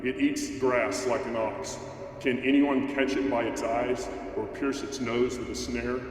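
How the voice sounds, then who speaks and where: A man playing a stern, all-powerful God in an amateur scripture drama, speaking in a solemn, commanding, deliberate way. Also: mid-range voice, fairly even intonation, lightly acted. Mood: majestic, challenging. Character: God, stern all-powerful male deity rebuking mortals. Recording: very echoey hall, distant, faint noise.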